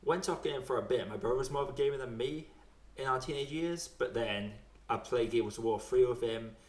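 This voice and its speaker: A man's voice: medium pitch, fairly quick. A man chatting casually about video games in a colloquial, self-deprecating way. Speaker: podcast speaker. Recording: roomy, echoey sound.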